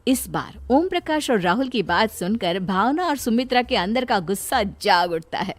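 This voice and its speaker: A woman's voice: mid-range voice, lively intonation, normal speed. A woman narrating a scripted moral story as the storyteller. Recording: music bed behind voice.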